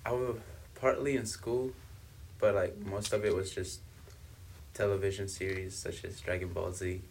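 Deep-voiced young man raised in South Africa. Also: level tone, moderate pace, gravelly, a little hiss or hum, low-quality recording. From South Africa, born in Congo. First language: Swahili and Kibembe. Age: young adult. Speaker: podcast guest, university student. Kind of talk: casual interview chat.

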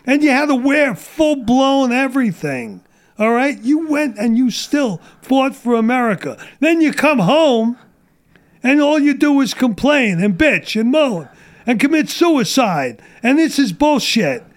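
A middle-aged man with a high voice rants in a casual podcast chat, blunt and profane. His voice is wearing out and getting strained. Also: sing-song, measured pace. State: losing his voice. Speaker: guest.

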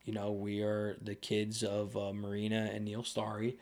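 Low-voiced young man, rambling casually. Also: monotone, moderate pace, darkish tone. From United States. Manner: rambling, loose, lots of fillers and restarts. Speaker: podcast guest. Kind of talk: casual conversation. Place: podcast studio.

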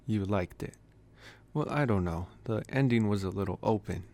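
A man playing a possessive, teasing young college vampire in an ASMR roleplay, speaking softly and intimately, with hesitant phrasing, sighs and chuckles. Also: lowish voice, moderate pace, lightly acted. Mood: musing.

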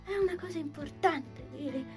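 A woman playing an overwrought, absurdly dramatic young woman in a sitcom dub, loud and melodramatic. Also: high voice, normal speed, over music, close to an everyday voice.

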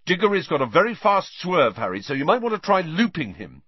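A man's voice: mid-range voice, sing-song, normal speed, slightly rough, no echo, lo-fi sound, pitched above the performer's own voice. A man reading a storybook, voicing an intense, anxious, bossy teenage team captain in an urgent, emphatic manner. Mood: urgent, shouting.

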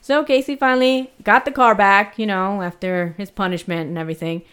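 A woman's voice: mid-range voice, fairly even intonation, brisk, a bit bright, clear, resonant tone. Chatty woman with a Spanish-tinged accent. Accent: Spanish-influenced. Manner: casual, chatty storytelling with many fillers and laughs. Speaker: podcast co-host telling the story. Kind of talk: casual true crime podcast chat.

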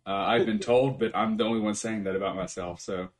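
A man's voice: lowish voice, steady tone, brisk. A man hosting a casual podcast from home, swearing freely and laughing often. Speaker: podcast host. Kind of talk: casual chat.

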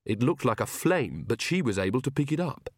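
Brief: man playing a gentle young mother, somewhat raised pitch, soft, dry sound. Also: sing-song, brisk, no echo. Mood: recollecting.

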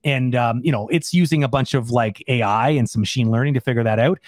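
A man's voice: medium pitch, fast talker, dry recording. Casual, emphatic man in a dry room. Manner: rambling, emphatic, informal phrasing. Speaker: animator and Q&A co-host. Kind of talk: casual unscripted Q&A discussion.

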